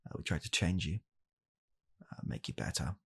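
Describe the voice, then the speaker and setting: Man playing a very deep, deadpan, gravelly dad. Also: heavily acted. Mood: deadpan, bleak. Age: young adult. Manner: soft-spoken, halting, deadpan. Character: blunt, callous father calmly blaming his child. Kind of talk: ASMR comedy roleplay. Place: small home room, indoors.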